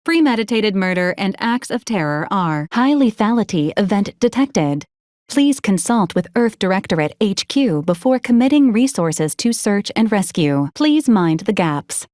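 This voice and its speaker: A woman playing a polite female robot assistant in a video game, speaking in formal, procedural phrases with a deadpan, literal manner. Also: medium pitch, normal speed, no room sound, clean studio sound, close to an everyday voice. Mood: politely officious. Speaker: robot companion giving mission advisories. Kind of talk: scripted video game character lines.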